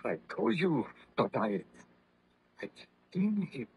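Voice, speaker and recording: An actor playing an anguished, haunted baron in melodramatic 1930s radio style, with halting, fervent delivery. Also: male voice, a little high, big pitch swings, gravelly, darkish tone, breathy edge, exaggerated voice. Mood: agitated, dread. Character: anguished, haunted young baron hunted by his monstrous creation. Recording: muffled vintage radio drama recording.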